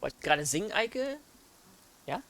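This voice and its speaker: A man's voice: high voice, sing-song, a little fast, bright, forceful tone. A German man hosting a let's play, chatting casually over a game. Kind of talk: casual gaming commentary.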